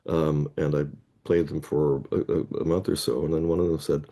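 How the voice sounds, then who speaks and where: A man's voice: rumbling low, flat delivery, moderate pace, gravelly, dark tone. A middle-aged man from Toronto, a guest heading a Scrabble players association, telling a long anecdote in a casual interview and rephrasing himself mid-sentence. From Canada (Toronto).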